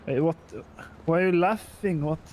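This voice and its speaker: A man with a Swedish accent chatting in character at a roleplay game, hesitant and full of pauses. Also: a little high, a little mellow. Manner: hesitant, many "uh"s. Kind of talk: casual in-character chat.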